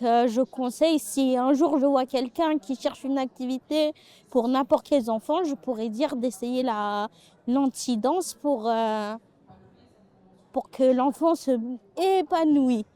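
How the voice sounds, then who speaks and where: High, mellow-voiced hesitant woman. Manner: hesitant, simply worded. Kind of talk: unscripted testimonial interview.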